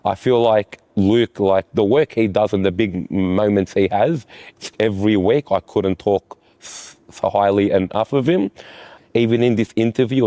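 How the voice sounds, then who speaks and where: A man, a futsal goalkeeper being interviewed, talking in halting bursts that lean on "like" and often restart. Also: lowish voice, dark tone, no room sound. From Australia. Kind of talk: post-season player interview.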